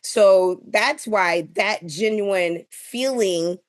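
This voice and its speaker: A woman's voice: mid-range voice, extremely slow. A woman in a casual panel discussion, giving opinions and explaining her thinking in an informal way. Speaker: podcast panelist.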